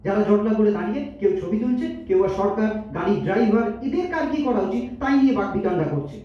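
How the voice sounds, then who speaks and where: A man's voice: high voice, animated, fast talker. A man playing an earnest, principled young accountant in an amateur stage audio drama, deferential yet impassioned as he makes his case. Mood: indignant, impassioned. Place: multipurpose hall stage. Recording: distant echoey hall, dull, faint noise.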